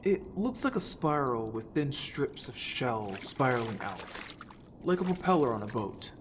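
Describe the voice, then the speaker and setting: A man's voice: mid-range voice, sing-song, a little slow, slight background noise. A man playing a youthful, eager but evasive merman in an audio story, talking in a casual, informal way. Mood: glum, explanatory. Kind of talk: audio drama roleplay.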